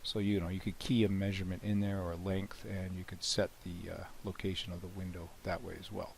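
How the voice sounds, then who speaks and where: A man with a cold, hoarse and often clearing his throat, casually talking through a software demo with many "you know"s and restarts. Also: low-pitched, a little fast, a little hiss or hum. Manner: relaxed, rambling, frequent "you know" and restarts. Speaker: software developer demonstrating his plugin. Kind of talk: screen-recorded software walkthrough.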